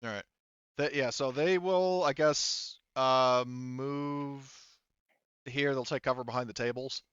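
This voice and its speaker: Casual male game master, clear smooth voice, sweary table talk, dry and slightly muffled recording. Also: mid-range voice, fairly even intonation, slow pace, a bit bright, dry recording. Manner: informal, swearing.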